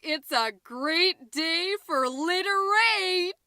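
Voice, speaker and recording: Woman playing a high, bright, chatty podcast mom. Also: animated, takes it slowly, no room sound, studio quality, full character voice. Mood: grandly excited. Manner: rambling, self-interrupting, theatrically emphatic. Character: chatty, scatterbrained, overexcited suburban mom hosting a podcast. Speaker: podcast host. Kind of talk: comedic character monologue as a homemade podcast.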